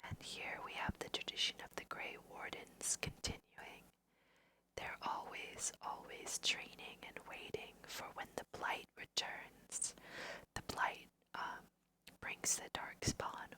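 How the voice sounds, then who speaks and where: A young woman whispering gently and softly in an unhurried ASMR style as she guides listeners through a video game. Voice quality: soft breathy whisper. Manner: gentle, unhurried, hushed guiding. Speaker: host of an ASMR gaming video. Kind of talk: ASMR let's play commentary.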